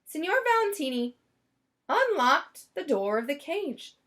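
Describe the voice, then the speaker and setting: A woman reading a children's picture book aloud as storyteller, expressively and with deliberate dramatic emphasis. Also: sits high, big pitch swings, a bit bright.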